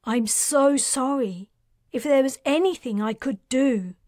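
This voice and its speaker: A woman's voice: high voice, measured pace, darkish tone, no room sound, clean studio sound, pitched above the performer's own voice. A woman voicing a young mage woman who scolds sharply and is near tears, in an audiobook. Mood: grieving.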